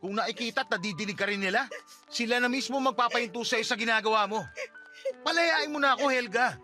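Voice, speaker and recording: A man's voice: very high voice, quick pace, sharp tone, soft background music, heavily acted. A Filipino man playing a firm, fed-up husband, blunt and exasperated, in radio drama style. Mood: cold, firm. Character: firm, fed-up husband ending a long relationship.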